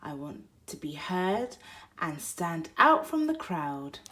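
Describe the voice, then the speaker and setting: A woman reading a picture book aloud for toddlers, expressive, moving between hushed whispers and loud exclaims. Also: fairly low pitch, takes it slowly, slight background noise. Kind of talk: read-aloud bedtime story for toddlers.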